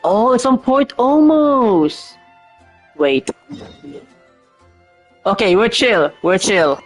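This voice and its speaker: A man's voice: high voice, big pitch swings, extremely slow. A man giving casual, hesitant gameplay commentary as a streamer, rambling and swearing freely over game music. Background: faint game music.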